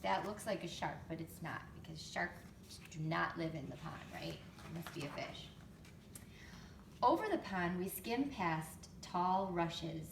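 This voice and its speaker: A woman reading a picture book to young children expressively, pausing to explain things and ask them questions. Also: fairly low pitch, creaky. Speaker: storytime reader, teacher to young children. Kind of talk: picture book read-aloud with chatty asides. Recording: roomy, distant mic, faint background noise.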